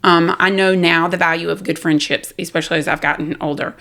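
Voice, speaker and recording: A talkative woman with a Southern US accent, speaking candidly and loudly as an interview guest. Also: fairly low pitch, fairly even intonation, a little fast. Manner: loud, talkative, self-deprecating. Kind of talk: candid personal conversation.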